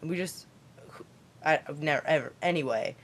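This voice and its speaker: A teenage girl from Kentucky with a deep voice for a girl, rambling chattily in a late-night vlog from her basement. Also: moderate pace. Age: teens. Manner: chatty, rambling, lots of fillers and put-on voices. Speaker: vlogger.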